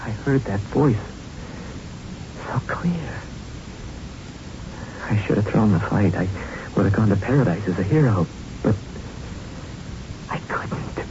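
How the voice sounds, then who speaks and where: Haunted young boxer, muffled recording. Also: male voice, fairly low pitch, animated. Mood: intense, defiant. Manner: halting, intense storytelling with streetwise phrasing. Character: haunted young male boxer, tough, plain-spoken, shaken. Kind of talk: 1970s radio drama acting. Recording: muffled, noisy 1970s radio recording.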